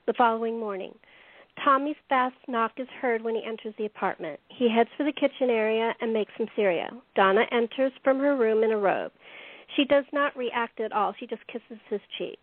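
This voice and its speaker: A woman's voice: a little high, moderate pace, no echo, lightly acted. A woman narrating a radio play, reading out scripted stage directions. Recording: tinny phone-like lo-fi audio.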